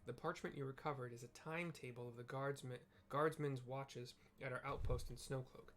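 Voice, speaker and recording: Casting note: grave, formal noble knight commander, read plainly by a man, mid-pitched. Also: fairly even intonation, a little fast, a bit bright, slight background noise, natural voice. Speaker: reading a game character's lines aloud.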